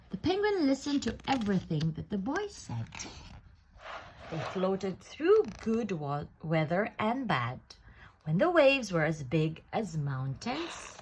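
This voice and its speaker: A woman reading a children's picture book aloud in an expressive, deliberate way, drawing out words for effect. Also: mid-range voice, background noise. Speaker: storybook reader.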